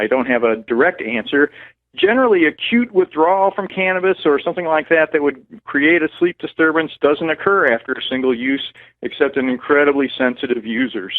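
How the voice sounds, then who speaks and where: A man's voice: mid-range voice, no room sound. A man speaks over a tinny phone connection in a careful, explanatory way. There is no room sound around his voice. He qualifies his points as he goes. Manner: measured, hedging, explanatory. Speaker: cannabis researcher guest. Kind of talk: phone-in expert interview. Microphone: telephone.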